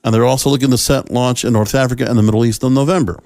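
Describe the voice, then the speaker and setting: A man's voice: medium pitch, steady tone, racing, a little mellow, no echo. A man hosting a solo podcast, giving conversational opinion commentary on entertainment news, often asking rhetorical questions and rephrasing himself mid-sentence. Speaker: podcast host.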